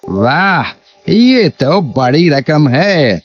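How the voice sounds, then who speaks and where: A sly, greedy cartoon landlord acted by a man, speaking slowly in a fairly high male voice. He is oily and measured, with a bullying streak under the politeness. The audio is slightly muffled, with music behind it. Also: very animated, heavily acted. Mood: smooth, reassuring. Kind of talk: Hindi cartoon moral story dub. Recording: dull lo-fi audio with background music.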